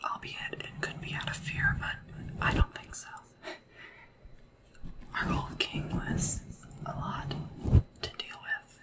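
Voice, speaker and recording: A woman speaking softly and whispering in an ASMR roleplay as a polite, hesitant, deferential sleep assistant to royalty. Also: takes it slowly, long pauses, far from the mic, low-quality recording. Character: nervous, devoted royal sleep assistant to a dragon ruler.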